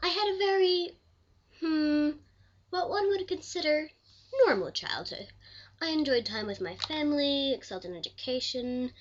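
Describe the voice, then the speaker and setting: A woman playing an elderly, proud pioneering lawyer and commissioner in a scripted interview, speaking formally and deliberately. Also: sits high, expressive, muffled recording. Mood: reflective, proud. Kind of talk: scripted mock interview, reenacted historical figure.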